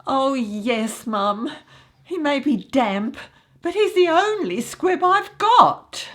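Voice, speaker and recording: A naive woman desperate to be married, in a comic radio soap. Her voice is extremely high and she pleads slowly, with childlike melodrama. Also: expressive, a bit bright, exaggerated voice. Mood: pleading. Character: tearful, naive, lovelorn woman longing for marriage.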